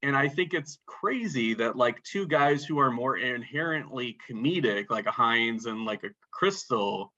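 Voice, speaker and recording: Animated, bright-voiced man chatting about films. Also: medium pitch, a little slow, hardly any pauses, muffled recording. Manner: animated, filler-heavy talk with lots of "like". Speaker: podcast co-host. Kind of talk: casual movie podcast chat.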